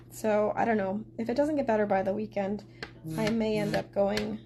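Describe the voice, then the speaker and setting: A woman's voice: medium pitch, creaky, noisy background. A woman hosting a casual card-making tutorial, chatting to her viewers while she cuts, a little congested from a sore throat. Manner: chatty, talks straight to viewers.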